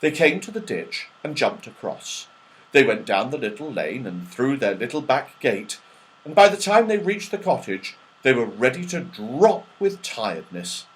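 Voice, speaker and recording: A man reading a children's story aloud in an expressive, dramatic storytelling manner. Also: mid-range voice, normal speed, rough recording. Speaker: audiobook reader.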